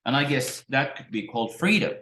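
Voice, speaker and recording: A middle-aged man, teaching in a halting, musing way with many restarts, talking to a webcam at his desk. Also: mid-range voice, lively intonation, pushed and bright. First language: Finnish. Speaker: spiritual teacher. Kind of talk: unscripted talk to camera. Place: small room at home, indoors. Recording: roomy echo.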